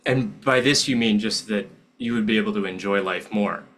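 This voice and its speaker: A man's voice: on the low side, normal speed, fairly bright tone. A man hosting a podcast interview, asking probing questions and checking in with his guest. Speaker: podcast host.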